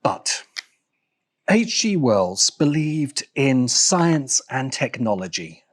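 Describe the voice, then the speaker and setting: A man narrating a scripted video essay in a deliberate way, with dramatic pauses. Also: medium pitch, very animated. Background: background music.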